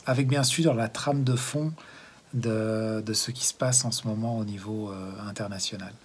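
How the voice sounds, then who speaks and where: A man's voice: on the low side, fairly even intonation, normal speed, husky. A man from Haute-Savoie in France hosting a community TV show, introducing a segment with many fillers and restarts. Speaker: host.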